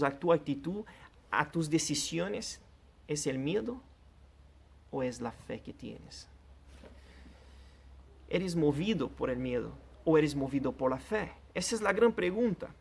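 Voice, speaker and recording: A man's voice: on the high side, gravelly, slightly rough. A man whose first language is Portuguese, preaching a sermon in an earnest, direct way and addressing the listener personally. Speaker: pastor.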